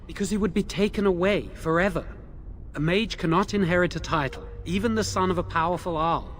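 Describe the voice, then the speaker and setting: A man's voice: high-pitched, fairly quick, a bit bright, slight background noise. An actor playing a nervous, remorseful young male mage held in a dungeon, in a video game. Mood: explaining. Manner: anxious, remorseful, pleading.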